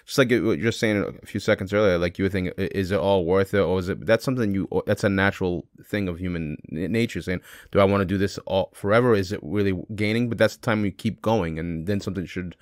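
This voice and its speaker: A man's voice: low-pitched, quick pace, few pauses, dry recording, clean studio sound. A man chatting casually and informally as a guest on a podcast. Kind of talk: casual chat.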